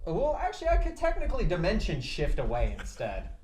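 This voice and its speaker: A man's voice: sits high, wildly swooping pitch, fairly quick, a little mellow, a little hiss or hum. A man chatting and joking as a player in a tabletop game, playful and rambling. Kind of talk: casual joking game banter.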